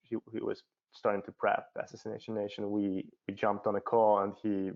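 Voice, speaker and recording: A low-pitched man with a Hungarian accent talks as a guest in a panel conversation. He feels his way through sentences, often repeating words. The sound is dull, like a video call. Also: level tone, fairly quick. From Budapest, Hungary. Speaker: cinematographer, interview guest. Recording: muffled lo-fi online call.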